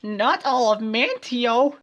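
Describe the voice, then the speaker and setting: A man voicing an excitable young female student scientist in a parody story, melodramatic and exclaiming in a strained high voice. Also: slow, heavily acted. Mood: frantic, shouting. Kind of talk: parody fan fiction character voice.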